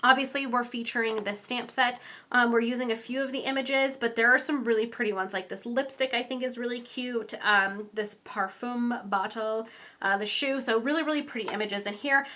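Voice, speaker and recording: A woman's voice: on the high side, steady tone, normal speed, sharp tone. A young woman with a stuffy, congested voice, chatting through a casual card-making tutorial, rambling with frequent ums and small laughs. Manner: giggly, laughs often. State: congested from a cold.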